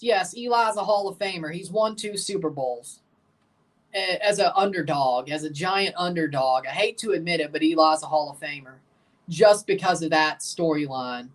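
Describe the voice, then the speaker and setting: A young man with a low voice hosting a livestream, giving blunt, opinionated hot takes while reacting to a soccer match and answering chat questions. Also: normal speed, far from the mic. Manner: excitable, jumps high in pitch when agitated.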